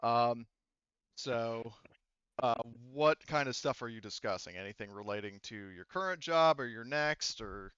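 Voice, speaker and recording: A man's voice: mid-range voice, lively intonation, a little slow, a bit bright, clear, resonant tone, no echo, dull audio. A man narrating a tabletop roleplaying game as game master in a casual, sometimes profane way.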